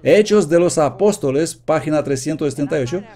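Earnest man preaching in a mellow voice. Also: fairly high pitch, lively intonation, brisk. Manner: earnest, emphatic, reading quotations aloud. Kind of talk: sermon read from notes, Spanish voice-over.

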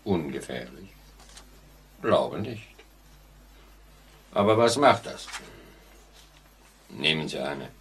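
An actor playing a sinister, laconic elevator operator in a 1940s-style radio play, speaking tersely and with calm menace. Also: male voice, extremely low pitch, slow, long pauses, heavily acted. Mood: flat, unconcerned. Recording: noisy vintage radio drama recording.

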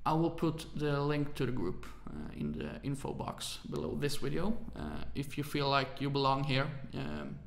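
Hesitant man, gravelly voice. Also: mid-range voice, big pitch swings, moderate pace, hardly any pauses, roomy sound, a little hiss or hum. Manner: hesitant, many "uh" fillers, apologetic about his English. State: tired, late at night. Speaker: music production tutor. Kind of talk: screen-recorded software tutorial.

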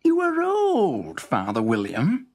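A man voicing a cheeky, pestering young man in comic storybook verse, with exaggerated, drawn-out delivery. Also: sits high, huge pitch swings, over music, full character voice. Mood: inquisitive, cheeky.

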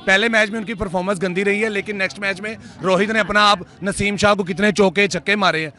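Young male fan, high, bright, fervent and preachy delivery. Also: steady tone, fast talker. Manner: fervent, preachy, often invokes God. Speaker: cricket fan interviewee. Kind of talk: impassioned street opinion. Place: outdoors at night in a crowd. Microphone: handheld mic held by interviewer.

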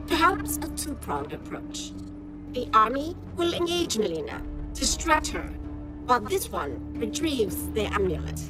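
A cold insect-woman counselor from a video game, high-pitched and lively in intonation. She is formal and calculating, calling herself "this one" over clear background music. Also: unhurried. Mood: cool, calculating. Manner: formal, speaks of herself in third person. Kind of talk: video game cutscene acting.

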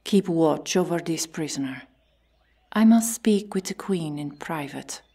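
Stern, commanding Amazon sentry, played by a woman: breathy, unhurried, formal delivery for ASMR roleplay. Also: sits low, lively intonation, mellow, no room sound, full character voice. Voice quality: breathy, often whispering. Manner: formal, solemn, deliberate phrasing. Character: stern, commanding Amazon warrior woman guarding sacred jungle territory.

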